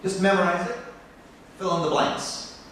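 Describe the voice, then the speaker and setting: An American man, a biology professor, giving a passionate conference talk that turns animated as he makes his points. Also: normal speed, slight background noise. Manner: passionate, rising in pitch when animated. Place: conference hall. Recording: echoey hall from far off.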